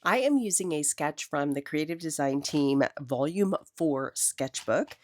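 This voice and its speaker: A woman's voice: sits low, animated, unhurried, a little mellow, a little hiss or hum. A middle-aged woman hosting a casual craft tutorial, chatty and enthusiastic, with a cold. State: has a cold. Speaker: crafting video host.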